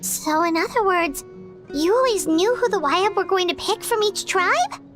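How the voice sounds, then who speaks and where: A woman voicing a tiny, excitable floating companion girl in a game, with a high, squeaky voice. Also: moderate pace, music in the background. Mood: surprised. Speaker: game character.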